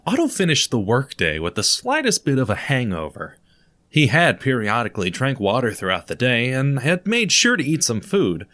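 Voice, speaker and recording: A man's voice: medium pitch, huge pitch swings, moderate pace, a bit bright. A man narrating an audio drama in a scripted, storytelling manner.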